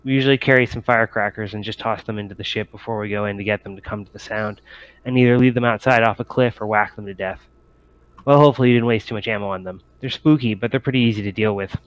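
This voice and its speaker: A man voicing a casual, cocky young male scavenger in a tabletop game, rough-spoken and matter-of-fact. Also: fairly low pitch, fairly even intonation, fast talker, dull audio, natural voice. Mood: amused, dismissive. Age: young adult.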